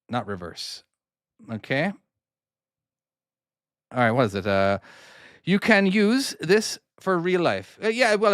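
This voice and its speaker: Man, Irish accent, casual live-stream game commentary, mid-pitched voice, talks himself through each move. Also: no echo, clean studio sound. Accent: Irish (Cork). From Ireland (Cork). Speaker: game developer streaming his own game.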